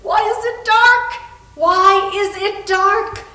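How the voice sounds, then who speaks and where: A woman's voice: extremely high pitch, steady tone, slow pace, bright, forceful tone, full character voice. A woman reading the part of a terrified, desperate young woman in a horror readers theater. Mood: panicked. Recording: echoey room, distant microphone.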